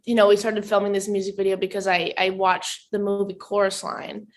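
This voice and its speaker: A young woman with a soft, raspy voice, chatting casually as a singer guest in a radio interview, full of "like" fillers. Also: mid-range voice, level tone, brisk. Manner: chatty, lots of "like" fillers, giggly.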